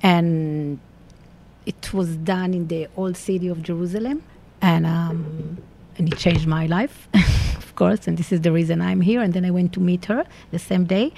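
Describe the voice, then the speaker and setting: A woman's voice: lowish voice, measured pace, gravelly, warm tone, a little hiss or hum. A woman from Israel with an Israeli accent, reminiscing in an interview and searching for words as she goes. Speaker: longtime theater company member.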